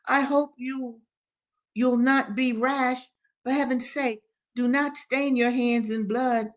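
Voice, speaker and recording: A woman's voice: high-pitched, fairly even intonation, slow pace, voice pushed up. A woman voicing an anxious, pleading genteel colonel's wife with formal urgency in a dramatic novel reading. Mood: alarmed pleading.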